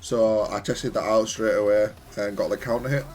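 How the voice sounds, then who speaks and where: Man, fairly low pitch, hesitant explanatory delivery, off-the-cuff fighting game replay analysis, faint music underneath. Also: steady tone, moderate pace, darkish tone. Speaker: gamer analysing own matches.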